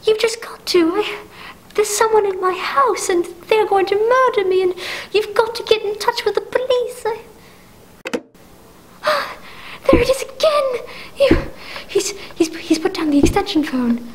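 A woman's voice: very high voice, expressive, unhurried, low-quality recording. A young woman playing a frantic, demanding invalid wife in a 1940s-style radio play, stammering and repeating herself as she pleads. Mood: terrified, pleading.

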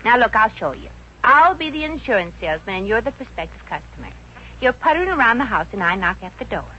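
An actress playing a brash, brisk, bossy but soft-hearted working girl in a 1950s radio comedy. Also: on the high side, sing-song. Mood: salesmanlike, brisk. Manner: brisk, wisecracking. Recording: dull, hissy old radio recording.

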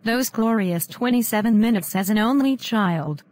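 A woman's voice: a little high, lively intonation, normal speed, darkish tone. A woman voicing a cheeky, boastful red-haired young woman in a fan-made cartoon. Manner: boastful, teasing. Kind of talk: fan animation voice acting.

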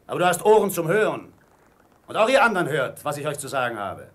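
A man's voice: a little high, very animated, quick pace. A man playing a wily, commanding old pirate ship's cook in a radio play. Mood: menacing, commanding.

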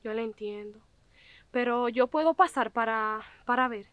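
A woman's voice: a little high, normal speed, clean tone, no echo, dull audio, close to an everyday voice. A young woman acting as a humble but determined visitor who politely keeps insisting, outdoors by a farm gate. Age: young adult. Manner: polite, persistent. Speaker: actress. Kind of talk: web drama acting. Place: outdoors on a country lane by a gate.

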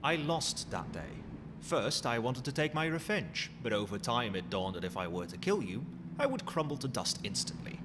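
A man's voice: medium pitch, big pitch swings, normal speed, natural voice. A man playing a courteous, cheeky undead skeleton butler in an ASMR roleplay, with a formal, theatrical manner. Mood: earnest, cheeky. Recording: long hall reverb, noisy ambience.

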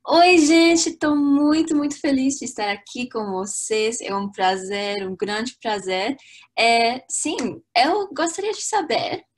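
Upbeat young woman teaching on a video call. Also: high-pitched. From Latvia. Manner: lively, chatty, many fillers and restarts. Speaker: language teacher. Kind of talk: live online language lesson. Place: small room indoors. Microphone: webcam on a video call.

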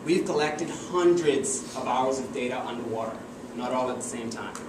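A young doctoral student from the Bahamas delivers a memorized talk in an earnest, emphatic way, with a mid-range voice. He stands at a distance from the camera in an echoey university room. A steady air-conditioning hum runs clearly underneath. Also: medium pitch, normal speed. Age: young adult. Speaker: PhD student presenter. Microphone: far camera, no visible mic.

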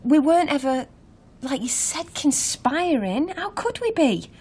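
An actress playing a defensive young hitch-hiker in a radio drama, speaking haltingly. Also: female voice, sits high, big pitch swings, a little mellow. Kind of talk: naturalistic radio drama acting.

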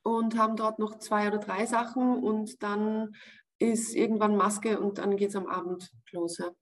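A woman's voice: mid-range voice, flat delivery, unhurried. A woman in her forties with an Austrian accent, a guest actress answering briefly and in a friendly way over a video call. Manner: brief, friendly agreeing replies. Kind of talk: casual video call interview. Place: room indoors. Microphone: laptop webcam on video call.

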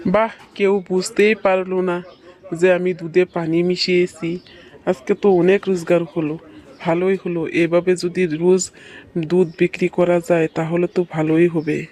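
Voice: mid-range voice, little pitch movement, a little slow, darkish tone, soft background music, natural voice. A performer voicing a poor, worried and greedy village milkman in a cartoon story. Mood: pleased.

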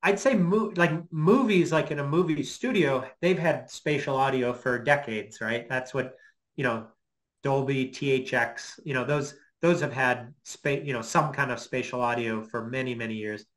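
A middle-aged man giving an informal lecture on a video call, explaining in a hesitant, halting way as he thinks aloud. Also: medium pitch. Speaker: guest lecturer. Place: small home office, indoors.

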